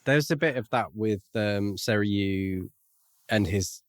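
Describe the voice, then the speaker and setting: A man's voice: fairly low pitch, takes it slowly, no room sound, clean studio sound. A man co-hosting a podcast, musing aloud about a book in a casual, conversational way. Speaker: podcast co-host. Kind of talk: book discussion, casual analytical chat.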